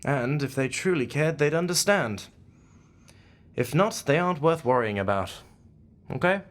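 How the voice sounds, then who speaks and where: An actor in an audio drama playing a commanding, wry leader of secret investigators who is gruffly kind, speaking in a measured, authoritative way. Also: male voice, on the high side, animated, lightly acted. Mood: gently earnest.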